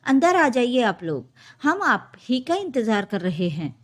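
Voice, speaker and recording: A woman's voice: a little high, big pitch swings, unhurried. A woman voicing a scornful, accusing middle-aged mother-in-law in a family story. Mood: stern. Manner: sharp-tongued, accusing.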